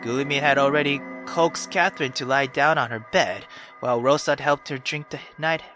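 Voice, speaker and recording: A man doing gaming commentary and reading story text aloud, excitable, slangy and sweary. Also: mid-range voice, normal speed, faint music behind. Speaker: let's play host.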